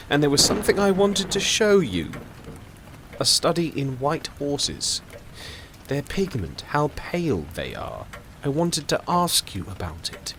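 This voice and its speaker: A man acting a brisk, jovial country doctor in an audio drama, with a chatty, theatrical way of talking. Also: fairly high pitch. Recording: clearly noisy home-recorded audio drama.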